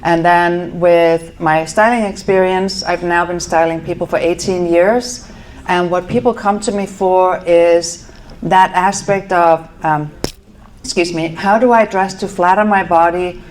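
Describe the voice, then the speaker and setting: A middle-aged woman from Denmark speaks with a Danish accent, her voice sitting a little low. She presents to a live audience in an easy, conversational way, with fillers and quick self-corrections. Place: event venue.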